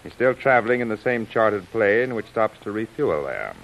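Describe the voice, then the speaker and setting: A man's voice: on the low side, sing-song, normal speed, creaky, fairly bright tone, full character voice, low-quality recording. A sinister gang chief from a classic radio crime serial. He is sardonic and commanding, and he lays down orders in tough underworld slang with open scorn. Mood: scheming, commanding. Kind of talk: old-time radio crime serial acting.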